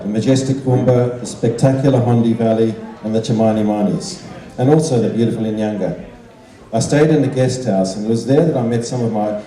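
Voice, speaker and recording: An older man giving a measured, formal prepared speech to an evening gathering. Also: medium pitch, warm tone. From Zimbabwe. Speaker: guest speaker, art teacher and children's author. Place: large indoor function room. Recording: roomy, echoing hall sound, distant microphone.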